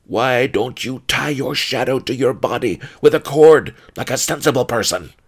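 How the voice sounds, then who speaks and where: A man's voice: medium pitch, moderate pace, husky, pitched above the performer's own voice. A man voicing a crooked, cowardly, blustering pawnbroker in an audiobook. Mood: snarling, grumbling. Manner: snarling, blustering, wailing when frightened.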